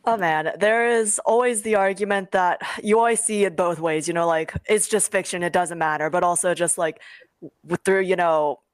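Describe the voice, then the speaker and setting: A woman's voice: on the low side, normal speed, dry recording. An American woman chatting informally as a guest creator on a panel, hedging often with filler phrases. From United States. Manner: informal, hedging, frequent "like" and "you know". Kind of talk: casual panel discussion.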